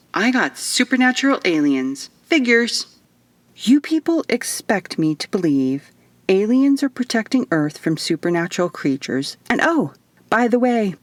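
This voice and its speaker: A woman's voice: medium pitch, no echo, close to an everyday voice. A woman voicing a sarcastic, skeptical young woman in an audiobook, blunt and exasperated.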